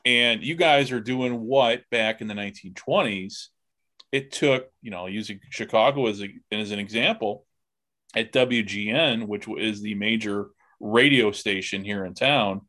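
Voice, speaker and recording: A man hosting a podcast interview in a casual, halting way, thinking aloud as he talks. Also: fairly low pitch, bright, forceful tone. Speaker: podcast host interviewing guests.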